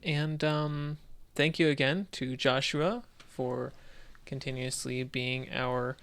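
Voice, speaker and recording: A male podcast co-host chats casually with a mid-pitched, gravelly edge and an otherwise clean tone. His delivery is halting and tongue-in-cheek, like someone thinking aloud among friends. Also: steady tone, fairly bright tone.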